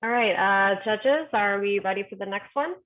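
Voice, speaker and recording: A woman's voice: mid-range voice, normal speed. Host woman on a tinny call line. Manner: friendly, filler-heavy talk. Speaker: event host. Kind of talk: casual moderating. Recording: tinny phone-like call audio.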